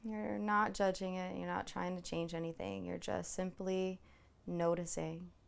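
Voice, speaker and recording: A woman meditation instructor leading a guided body scan in a soothing, gently reassuring way, unhurried and leaving long pauses. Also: lowish voice, little pitch movement, creaky.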